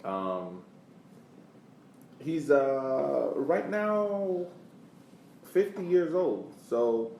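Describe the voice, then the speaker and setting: A man co-hosting a sports podcast with casual commentary, deliberately repeating words for emphasis. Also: mid-range voice, lively intonation, gravelly, mellow. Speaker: podcast co-host. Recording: echoey room, distant mic, faint noise.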